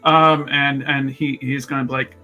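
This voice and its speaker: A man's voice: mid-range voice, flat delivery, normal speed, pushed and bright, soft background music. A man casually improvising his character's actions as a player in a tabletop game.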